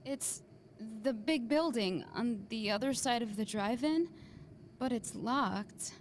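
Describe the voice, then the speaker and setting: A woman's voice: on the high side, animated, takes it slowly, slight background noise. An actress playing a troubled, vulnerable young woman in a video game cutscene. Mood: dreamy, unhinged. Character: dazed, lovesick young woman under dark influence, then shaken.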